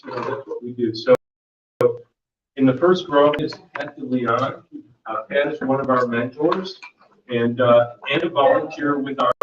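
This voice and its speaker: Man, booming presenter at a workshop, rambling delivery, distant mic in a reverberant meeting room. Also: slight background noise. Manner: loose, rambling delivery with many fillers and restarts. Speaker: volunteer mentor presenting a workshop. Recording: dull, echoey room audio from far off.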